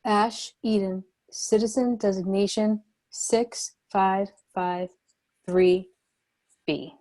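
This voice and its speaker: A woman's voice: medium pitch, steady tone, slow, mellow, natural voice. A woman playing a wary, assertive leader of refugees, direct and questioning, in a screenplay table read. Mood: businesslike.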